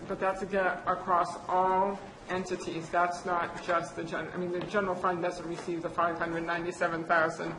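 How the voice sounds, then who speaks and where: Woman presenter, low-ish and flat, hesitant delivery, echoey hall with fan noise. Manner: halting, many fillers and restarts. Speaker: county budget presenter. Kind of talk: public meeting presentation. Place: county board meeting room. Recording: echoey chamber with air conditioner noise.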